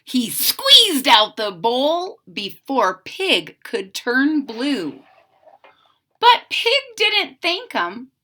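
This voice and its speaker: A woman reading a picture book aloud in a theatrical, drawn-out way, coaxing young listeners with questions and explanations. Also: high voice. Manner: animated, theatrical, drawn-out emphasis; coaxing, explaining asides to children. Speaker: children's story reader and channel host.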